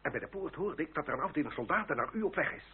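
A man's voice: fairly high pitch, lively intonation, very fast, natural voice. A man playing a grave, urgent marquis with a clipped delivery in an old radio drama. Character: grave, urgent male marquis bringing warnings. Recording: tinny, noisy old radio recording with hum.

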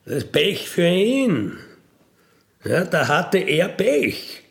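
A man with a gravelly voice, recounting in a blunt, matter-of-fact, colloquial way with emphatic tag questions. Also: sits high, wildly swooping pitch, very slow. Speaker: ex-convict telling his experiences. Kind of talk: colloquial spoken monologue, recounting.

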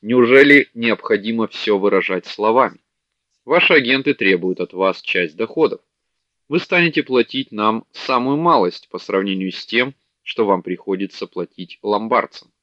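A man's voice: fairly low pitch, animated, moderate pace, a bit bright, dry recording. A man voicing an eager, clever young male scholar making a persuasive case, on a dull, dry audiobook recording. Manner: earnest, persuasive.

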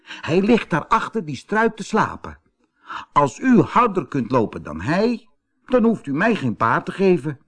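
Man playing a cheeky boy with lifted, lilting pitch. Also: huge pitch swings, measured pace, fairly bright tone, slightly rough, full character voice. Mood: sly, polite. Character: plucky, cunning young orphan boy.

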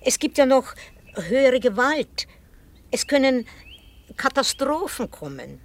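An actress playing a composed, quietly menacing older housekeeper in a 1960s radio play, speaking with formal, controlled politeness. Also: female voice, sits high, big pitch swings, takes it slowly, heavily acted. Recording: hissy 1960 radio play recording.

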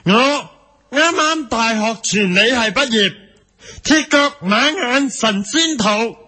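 A man's voice: very high voice, lively intonation, slow, pushed and bright, heavily acted. A man playing an earnest, obstinate young TV news reporter with a pompous manner and a Hong Kong Cantonese accent, in a comic radio drama. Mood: self-important. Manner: pompous, doggedly repetitive questioning.

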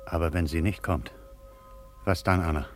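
An actor playing a superstitious, frightened farmer who feels hunted, agitated and pleading, in a German radio play. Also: male voice, sits low, normal speed, soft background music, close to an everyday voice. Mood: anxious, subdued. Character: fearful superstitious farmer, feeling bewitched and hunted. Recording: noisy, clicking radio play recording.

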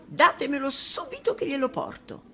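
Woman as an indignant housemaid, high and insistent. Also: wildly swooping pitch, normal speed, fairly bright tone, exaggerated voice. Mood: shocked, insistent.

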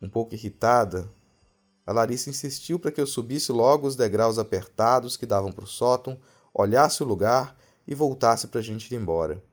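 A man's voice: mid-range voice, moderate pace, soft background music. A man with a Brazilian accent narrating a scripted horror story in a dramatic storytelling style.

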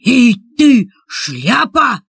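An excitable young boy acted by a woman from a children's audio story, high and very animated. He is curious, bold yet easily scared, and speaks in emphatic exclamations with a slightly husky edge. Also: extremely slow, no echo, clean studio sound, heavily acted. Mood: defiant.

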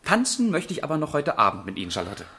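Husky, animated young gentleman charmer. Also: fairly high pitch, fast talker, full character voice. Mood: gallant. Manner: playful, gallant. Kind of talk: period radio drama acting.

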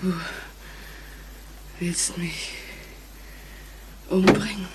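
An actress playing a desperate, haunted murderess in a German radio crime drama, halting and tremulous, with a husky voice that slips into whispers. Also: female voice, lowish voice, fairly even intonation, heavy background noise. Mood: bitter, broken. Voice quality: breathy, husky, often breaking into whispers. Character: desperate, haunted woman confessing to murder in terror.

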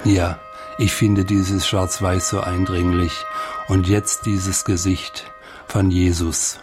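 A man's voice: low-pitched, little pitch movement, slow. A man giving reflective, personal commentary as he watches a film. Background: music.

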